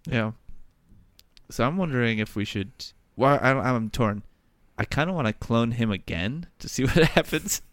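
A man in improv comedy banter, hesitant and halting, often giggling. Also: medium pitch, expressive, dry recording. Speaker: improv player.

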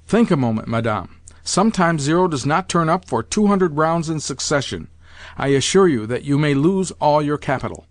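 A man voicing a polite, cautious male companion with measured, courteous delivery in an audiobook. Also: a little high, expressive, no echo, natural voice. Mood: polite, restrained. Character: cautious, polite younger male companion, remonstrating with an old lady. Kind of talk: audiobook character dialogue.

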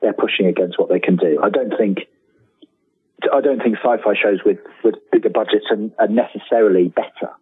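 A man speaking as an interview guest, telling anecdotes in an enthusiastic, halting way, often repeating the starts of his phrases. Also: lowish voice, breathy edge. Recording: thin phone-like line with room echo.

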